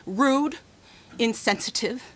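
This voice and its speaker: A wounded grown daughter in a radio drama. She speaks in a slightly high voice, halting and emotional, frank but defensive. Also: fairly bright tone. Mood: resigned, bitter.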